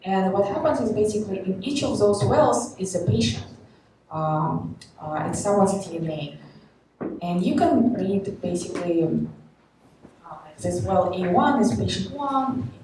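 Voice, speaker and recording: A woman's voice: mid-range voice, lively intonation, measured pace, noisy background. Woman from Kazakhstan presenting in echoey hall. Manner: explanatory, many fillers. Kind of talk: conference talk. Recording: echoey hall, distant mic.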